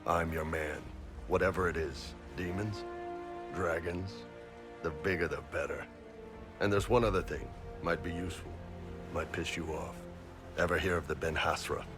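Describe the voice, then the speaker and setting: Over prominent music, a man plays a huge horned mercenary captain in a fantasy game. His voice is very low, and he talks bluntly and informally, with bluff, candid good cheer. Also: steady tone, normal speed, close to an everyday voice. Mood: confident, jovial. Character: big horned male Qunari mercenary boss, bluff and candid. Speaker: mercenary captain and self-declared spy. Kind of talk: video game character dialogue.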